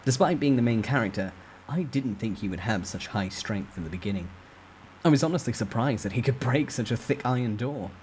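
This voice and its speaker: A man's voice: medium pitch, lively intonation, brisk, darkish tone, slight background noise, low-quality recording. A man reading a novel aloud as a dramatic first-person narrator.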